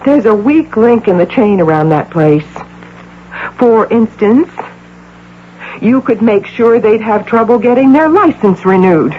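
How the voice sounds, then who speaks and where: A woman's voice: mid-range voice, lively intonation, measured pace, lightly acted. An actress playing a domineering, deluded executive woman who poses as a doting mother, in insistent 1980s radio drama style. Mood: demanding, ruthless. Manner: insistent, theatrical. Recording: tinny, noisy old radio broadcast.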